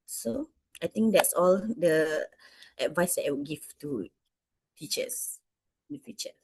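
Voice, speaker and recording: A woman's voice: fairly low pitch, expressive, unhurried, gravelly, husky, no room sound. Malaysian-accented woman, 30s, teacher being interviewed, dry close sound, hesitant. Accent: Malaysian English. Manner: hesitant, many fillers and restarts. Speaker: English teacher interviewed by students. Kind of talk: answering interview questions.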